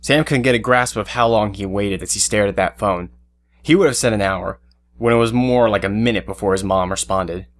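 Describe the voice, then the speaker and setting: A man's voice: fairly low pitch, a little fast, a little hiss or hum. A man giving scripted third-person narration for an audio drama. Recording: faint music under narration. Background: faint music.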